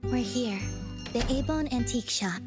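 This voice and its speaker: A woman's voice: a little high, expressive, moderate pace, lightly acted. A woman voicing an absent-minded, placid young girl in an anime-style game, hesitant and literal in manner. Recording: clear music behind the voice.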